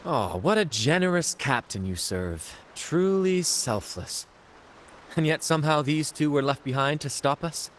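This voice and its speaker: A man's voice: a little high, animated, a little slow, fairly bright tone, slight background noise. A man playing a flamboyant, cocky pirate rogue in a video game, with a playful, mocking, grandiose manner. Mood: playful, excited. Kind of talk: video game character acting.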